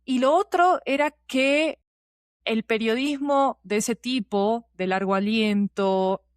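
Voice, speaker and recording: A woman's voice: fairly high pitch, takes it slowly, no room sound, clean studio sound. A woman speaking as an interview guest, explaining things at length in a colloquial, emphatic way. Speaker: guest, podcast producer and teacher. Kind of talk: casual explanatory interview talk.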